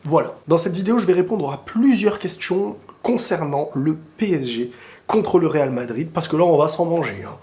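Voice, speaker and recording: Guy with a cold and sore throat ranting about football, kinda high voice, rambles and gets carried away. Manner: rambling and excitable, bursts into quick asides. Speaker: football video creator answering viewer questions. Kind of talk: casual opinionated football talk to camera.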